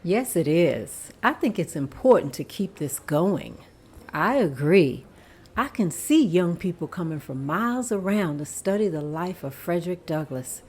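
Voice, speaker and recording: A woman at a table read playing an agreeable club member and secretary in a plain, steady voice. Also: on the low side, unhurried, breathy edge.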